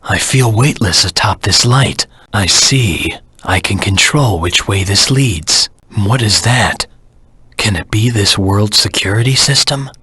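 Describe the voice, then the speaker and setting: Brooding video game antihero, very husky growl, hard-edged and forceful, terse, grim, menacing, vengeful. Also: male voice, lowish voice, lively intonation, unhurried, full character voice. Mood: pensive, wary. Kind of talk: video game voice acting, dramatic monologue.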